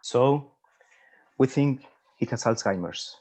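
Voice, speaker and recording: A man playing a serious forty-five-year-old doctor, matter-of-fact and measured, at a screenplay table read. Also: medium pitch, animated, darkish tone.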